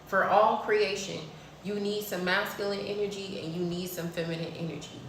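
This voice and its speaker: A woman's voice: mid-range voice, steady tone, moderate pace, distant mic, a little hiss or hum. Blunt preachy woman in an echoey room. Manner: preachy, blunt, swears freely. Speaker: spiritual vlogger. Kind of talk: casual spiritual talk to camera.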